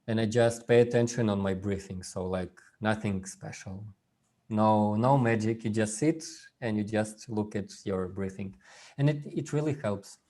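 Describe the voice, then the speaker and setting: Man presenting a workshop, native russian speaker, halting, lots of fillers, video call. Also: lowish voice, mellow. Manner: halting, many fillers and restarts. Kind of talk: informal online workshop talk.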